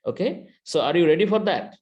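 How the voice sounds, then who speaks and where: A man's voice: a little high, animated, brisk, a little mellow, dull audio. Man, Bangladeshi accent, university lecturer, online class, computer mic, hesitant, lots of fillers. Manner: hesitant, many fillers and restarts. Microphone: computer mic on video call.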